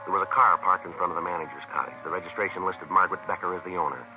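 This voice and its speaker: An actor playing a dry, methodical American police sergeant in an old radio crime drama, clipped, formal and businesslike. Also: male voice, low-pitched, racing, over music, natural voice. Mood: deadpan narration. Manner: deadpan, terse, formal. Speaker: police detective, lead and narrator. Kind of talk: 1950s radio acting and clipped narration. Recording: noisy vintage radio recording, narrow band.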